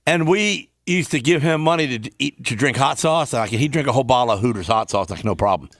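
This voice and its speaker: A man's voice: medium pitch, animated, fast talker, a bit bright, no room sound, clean studio sound. A man on a radio crew chatting casually in a folksy, colloquial way. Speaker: radio show crew member.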